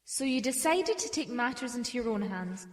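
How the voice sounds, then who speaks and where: A woman's voice: a little high, lively intonation, moderate pace, roomy sound, lightly acted. A young woman playing a composed, assured private detective in a mystery audio drama. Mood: firm, accusing. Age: young adult.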